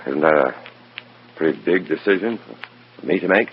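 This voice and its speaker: An actor playing a weary, conscience-torn American undercover agent, narrating in a brooding, clipped hard-boiled style of old radio drama. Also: male voice, a bass voice, lively intonation, moderate pace, a little hiss or hum. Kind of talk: mid-century radio drama acting, first-person narration. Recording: muffled old radio recording with music.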